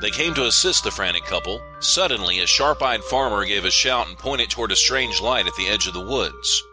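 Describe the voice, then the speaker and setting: Low-voiced man narrating horror over music. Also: lively intonation, brisk, rough recording. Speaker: podcast host and storyteller. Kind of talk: scripted horror story narration.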